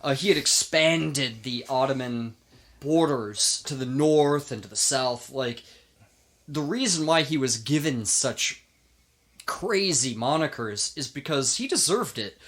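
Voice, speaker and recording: A man's voice: mid-range voice, expressive, a little slow, fairly bright tone. A man hosting a podcast, telling history in an informal, emphatic way with casual banter.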